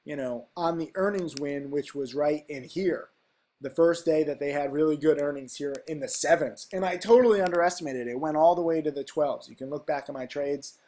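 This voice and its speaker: A man with a congested, stuffy nose talks through trading charts. His voice sits a little high, and he rambles in casual, emphatic bursts, checking in with "okay?" as he goes. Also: fairly quick, dark tone. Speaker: stock trading educator. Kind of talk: casual screen-share chart walkthrough.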